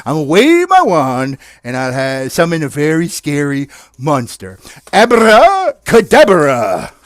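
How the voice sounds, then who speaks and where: Man as storybook puppet wizard, boastful, cowardly, halting, fairly high, dry sound. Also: wildly swooping pitch, dry recording.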